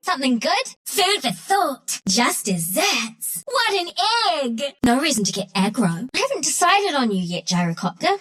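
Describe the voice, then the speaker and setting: A woman's voice: high-pitched, sing-song, normal speed, hardly any pauses, fairly bright tone, heavily acted. A woman voicing a cheeky, scrappy young squirrel trickster for a video game, in a slangy, cocky manner. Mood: playful, teasing. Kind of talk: video game character voice lines.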